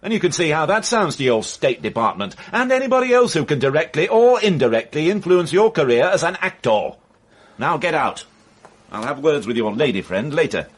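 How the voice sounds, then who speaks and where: An actor playing a stern, shrewd police inspector in a radio mystery, commanding and probing. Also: male voice, fairly high pitch, very animated, a little fast, slightly rough. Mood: stern, threatening. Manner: commanding, probing, clipped threats. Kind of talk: radio mystery drama acting.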